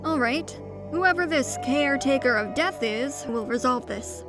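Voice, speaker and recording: A high, youthful goat-girl heroine, played by a woman in an audio drama. She is feisty and bewildered, speaking at a slow, expressive pace with music behind her. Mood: stunned, resolving. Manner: defiant, startled, expressive. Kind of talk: audio drama character acting.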